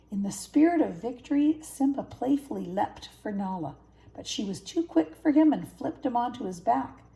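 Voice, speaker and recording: A woman's voice: a little high, vocal fry, dark tone, poor audio. An older woman, a grandmother, narrating a children's story. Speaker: grandmother reading stories.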